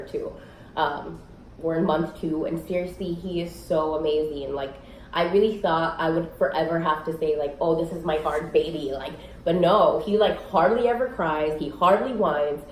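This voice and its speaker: A young woman vlogging casually to camera at home, a little sick, rambling with many fillers in a raspy voice. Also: moderate pace. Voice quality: raspy, low voice. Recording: echoey room, faint noise.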